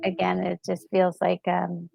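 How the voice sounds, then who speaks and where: A woman talks slowly and haltingly with a gravelly fry in her voice, reacting casually as a gaming co-host. The recording is a little dull, with music behind her. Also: on the low side, completely flat delivery. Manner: halting, searching for words.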